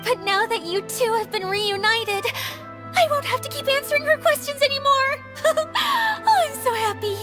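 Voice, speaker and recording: A bubbly high mascot girl. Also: normal speed. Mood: cheerful. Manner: bubbly and upbeat. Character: cheerful young female mascot handing out candy. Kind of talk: video game voice acting. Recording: game cutscene with background music.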